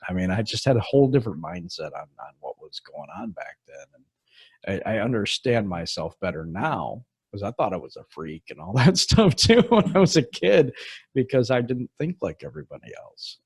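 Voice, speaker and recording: A man with a husky voice hosts a casual podcast interview. His pitch swings widely as he talks, and he breaks into laughter often. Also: normal speed, no echo. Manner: laughs often, animated and chatty. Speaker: podcast host.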